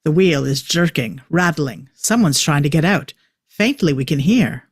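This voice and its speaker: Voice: medium pitch, expressive, a little slow, husky, no room sound, clean studio sound. A narrator reading stage directions from a drama script.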